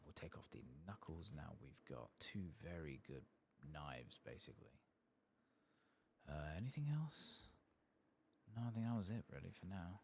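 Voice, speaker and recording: A soft-spoken man doing ASMR game commentary, murmuring and musing aloud to himself at a close microphone. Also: extremely low pitch, gravelly, slight background noise. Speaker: host of an ASMR game playthrough channel.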